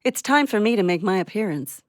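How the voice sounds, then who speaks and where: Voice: medium pitch, lively intonation, brisk, no room sound, clean studio sound, lightly acted. An actor voicing a small, vain and soothing warbler bird in an audio play, with a proud, self-admiring manner. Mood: proud, self-assured.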